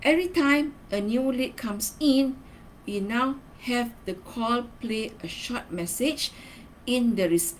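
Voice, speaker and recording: A woman's voice: on the high side, a little hiss or hum, rough recording. A woman giving a semi-scripted training lesson as a marketing trainer, instructional, stressing key words and checking in with short tag questions.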